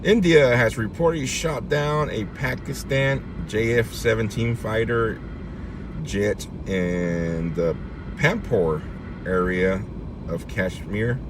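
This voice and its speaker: A man chatting casually with livestream viewers as host, informal and thinking aloud in an unhurried way. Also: fairly low pitch. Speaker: livestream host, citizen reporter. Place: in a vehicle out on the road. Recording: clear steady car and outdoor noise.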